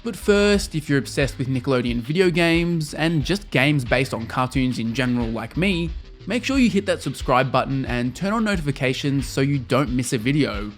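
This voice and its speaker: A man's voice: mid-range voice, lively intonation, moderate pace, few pauses, faint music behind. A young adult man narrating a scripted video essay as a game reviewer and host.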